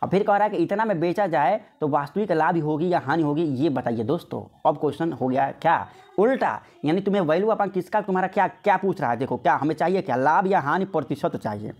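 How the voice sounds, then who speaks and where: A man's voice: a little high, lively intonation, quick pace, darkish tone. Man teaching maths, repeating himself for emphasis. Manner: repetitive, asks questions and answers them himself. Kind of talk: informal exam-prep lesson, explaining step by step.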